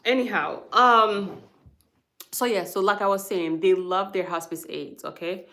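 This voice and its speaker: A woman's voice: mid-range voice, a little slow, pushed and bright. A woman hosting a live stream about hospice, explaining patiently and checking in with viewers after each point. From Haiti. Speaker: hospice worker and livestream host.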